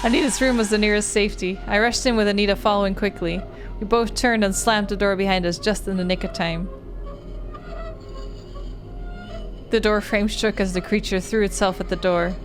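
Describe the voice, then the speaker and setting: A woman's voice: mid-range voice, steady tone, quick pace, few pauses, music in the background, close to an everyday voice. A woman playing an earnest horror-game protagonist, reading the narration in a dramatic storytelling manner. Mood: fearful urgency.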